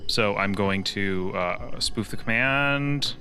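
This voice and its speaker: A man playing a tabletop game, halting as he thinks aloud between narration and casual table talk. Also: on the low side, bright, forceful tone. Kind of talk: improvised in-character play and casual table talk. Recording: clear background noise.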